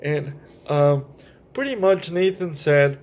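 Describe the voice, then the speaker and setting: A man's voice: a little high, expressive, slow, darkish tone. A man hosting a children's story time, reading a picture book aloud and explaining it directly to young listeners.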